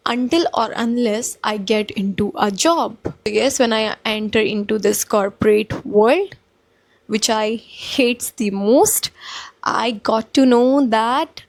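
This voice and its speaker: A young woman with an Indian accent, vlogging in a calm, polite, soft-spoken way and mixing Hindi with English. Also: on the high side. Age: young adult. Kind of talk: casual reflective vlog talk.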